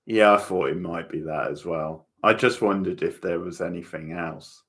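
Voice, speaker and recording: A man's voice: low-pitched, normal speed, fairly bright tone. A man giving casual live watchalong commentary as host, chatty and informal, reacting in short bursts.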